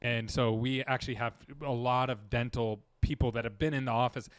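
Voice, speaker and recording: A man's voice: mid-range voice, steady tone, a little fast, clear, resonant tone, dry recording. A man, a dental marketing guest in a casual interview, talking earnestly with many restarts. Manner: earnest, rambling, full of restarts.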